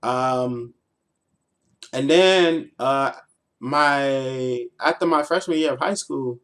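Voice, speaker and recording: A 24-year-old American man telling stories casually as a livestreamer, in a slangy, playful, animated way. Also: medium pitch, slow pace. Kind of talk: casual, informal storytelling.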